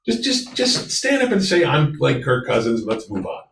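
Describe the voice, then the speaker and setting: A man's voice: medium pitch, huge pitch swings, moderate pace, vocal fry, a bit bright, reverberant, recorded from a distance, slight background noise. A man with an American accent, a guest on a talk show, bantering casually.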